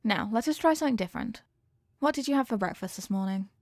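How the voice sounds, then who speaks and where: Girl acting as earnest lady scientist in an audio roleplay, kinda high voice, proper n precise, super dry close sound. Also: expressive, quick pace, no room sound. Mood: caring. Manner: precise, formal, clinical wording. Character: earnest, formal young woman scientist fond of her test subject. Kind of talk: scripted roleplay addressed to the listener.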